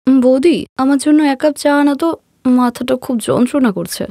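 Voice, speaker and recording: A woman's voice: high-pitched, a little fast, gravelly, mellow, dry recording, voice pushed up. A woman voicing an arrogant, scheming young sister-in-law in a cartoon story, with an accusing, melodramatic, taunting manner. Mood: angry whining. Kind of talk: Bengali cartoon story voice acting.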